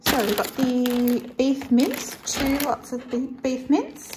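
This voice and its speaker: A woman's voice: sits high, slow, very noisy. A woman with an Australian accent chatting casually to camera as a vlogger, unscripted and often trailing off mid-thought. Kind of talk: casual grocery haul chat to camera.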